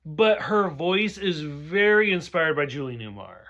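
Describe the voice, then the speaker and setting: A man's voice: fairly high pitch, animated, measured pace, pushed and bright, dull audio. A man hosting a casual review chat, rambling and chatty with lots of fillers, a bit tipsy. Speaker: show host.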